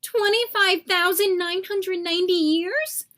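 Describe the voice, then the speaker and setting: Woman as stubborn storybook boy: high, earnest, easily indignant. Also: unhurried, bright, forceful tone, heavily acted. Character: stubborn young boy guarding his lost teeth.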